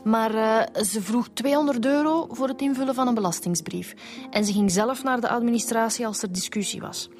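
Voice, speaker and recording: A woman's voice: on the high side, a little fast, darkish tone, faint music behind, lightly acted. A woman with a Flemish accent playing an eager, thorough police detective in a radio crime drama, talking in a colloquial, down-to-earth way. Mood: matter-of-fact. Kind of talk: naturalistic radio drama dialogue.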